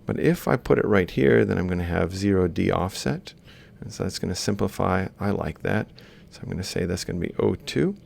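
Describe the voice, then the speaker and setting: A man's voice: low voice, expressive, brisk, creaky, few pauses, a little mellow, a little hiss or hum. A man lecturing informally, thinking aloud as he explains step by step while drawing. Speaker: robotics lecturer.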